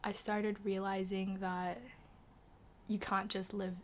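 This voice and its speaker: A woman's voice: medium pitch, flat delivery, takes it slowly, slight background noise. Chatty teenage girl, slight British tinge. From Macau. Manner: chatty, filler-heavy, trails into soft half-whispers. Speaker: guest. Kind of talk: casual chat.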